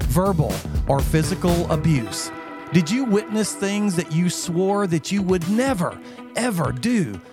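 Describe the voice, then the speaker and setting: A man hosting a podcast interview, earnestly asking a guest probing questions. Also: on the high side. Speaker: podcast host.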